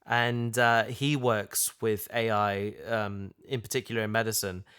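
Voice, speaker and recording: A man chatting casually and thoughtfully, feeling his way through ideas in halting phrases. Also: lowish voice, level tone, a bit bright, clear, resonant tone. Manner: halting, thoughtful, repeats himself. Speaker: podcast host, audio drama voice actor. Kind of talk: casual chat, discussion.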